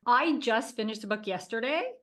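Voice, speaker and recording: A woman's voice: on the high side, animated, moderate pace, sharp tone. A woman in a casual book club discussion, talking earnestly and emotionally about a book that moved her.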